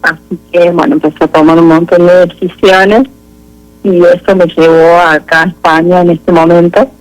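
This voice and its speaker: A woman with an Argentine Spanish accent giving a halting, introspective personal account as an interview guest. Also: lowish voice, little pitch movement, poor audio.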